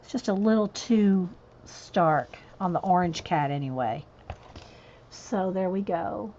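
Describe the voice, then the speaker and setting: A woman's voice: lowish voice, takes it slowly, dark tone, slight background noise. A woman chatting casually while she colors on a livestream, rambling and answering viewers as she goes. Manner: chatty, rambling, reads chat messages aloud and answers viewers. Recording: dull lo-fi livestream audio.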